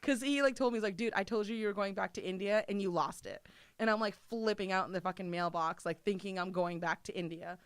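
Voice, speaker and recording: A woman's voice: mid-range voice, quick pace, clear, resonant tone, no room sound. Chatty woman in her thirties, dry sound. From Maine. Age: 30s. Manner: chatty storyteller, swears freely, lots of "like". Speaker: interview guest. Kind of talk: casual chat.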